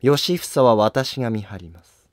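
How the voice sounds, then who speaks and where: Man voicing a composed, slow-spoken empress. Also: medium pitch, no echo, clean studio sound, natural voice. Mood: resolute. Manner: polite, formal, composed. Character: dignified empress consort, composed, gently scolding wife. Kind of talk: scripted historical drama dialogue.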